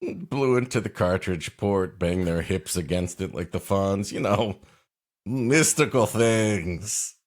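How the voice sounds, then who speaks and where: A man co-hosting a comedy show, riffing on a story in a sardonic, deadpan joking way. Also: on the low side, slow pace. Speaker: comedy co-host.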